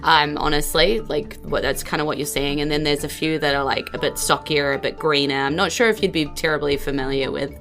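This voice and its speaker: Low-voiced New woman narrating a game. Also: steady tone, a little fast, few pauses, a bit bright, faint music behind. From New Zealand. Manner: conversational, informal, descriptive. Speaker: game master and podcast host. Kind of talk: improvised tabletop game narration.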